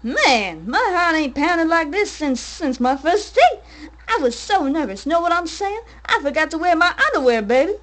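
Woman as swaggering game DJ, high-pitched, loud, slangy, hyped-up patter. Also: big pitch swings, moderate pace, exaggerated voice. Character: brash, hyped-up street-slang radio DJ.

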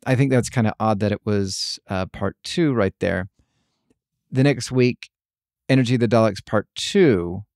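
A man hosting a podcast, talking casually by himself in a halting, rambling way. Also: on the low side, darkish tone, dry recording, clean studio sound. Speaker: podcast host. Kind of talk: casual solo podcast commentary.

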